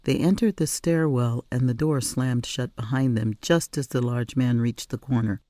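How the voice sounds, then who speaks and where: A woman narrating a story in an expressive audiobook reading style. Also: extremely low pitch, moderate pace, dry recording, clean studio sound. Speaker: author or reader narrating a story.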